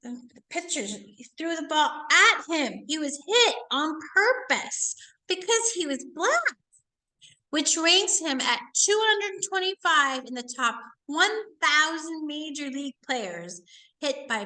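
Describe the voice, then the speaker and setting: A woman reading a children's book aloud in an expressive, emphatic teaching manner with casual asides. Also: high voice, rough recording.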